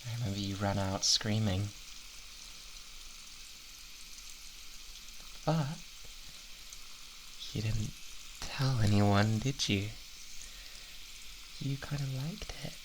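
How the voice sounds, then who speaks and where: A British man playing an obsessive, doting boyfriend with quiet menace in an intimate, cooing ASMR roleplay. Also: lowish voice, background noise. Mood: possessive, menacing. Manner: cooing, slow, possessive, drawn-out words.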